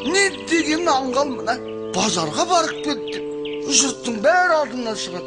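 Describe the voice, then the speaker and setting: Man acting a high-pitched, excitable cartoon villager. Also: moderate pace. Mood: distressed, complaining. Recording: music under the voice.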